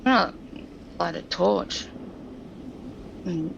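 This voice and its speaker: A woman's voice: fairly low pitch, extremely slow, vocal fry. A woman speaking casually as a player in a tabletop game. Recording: dull muffled mic, faint noise.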